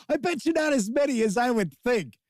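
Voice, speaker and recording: A man's voice: very high-pitched, animated, moderate pace, a little mellow, dry recording, clean studio sound. A man with a Chicago accent hosting a casual livestream of tabletop gaming news, chatty and jokey as he talks with his chat. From Chicago, USA. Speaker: host.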